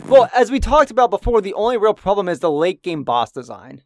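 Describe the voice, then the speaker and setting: A man's voice: high voice, big pitch swings, fairly quick, background noise. A man co-hosting a gaming podcast, teasing his friends and rambling with lots of fillers. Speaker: podcast co-host. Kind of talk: casual gaming podcast banter.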